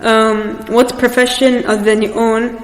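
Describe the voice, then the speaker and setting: A woman's voice: a little high, little pitch movement, measured pace. A young woman asking questions as the interviewer in a casual interview. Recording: echoey reverberant room with background noise.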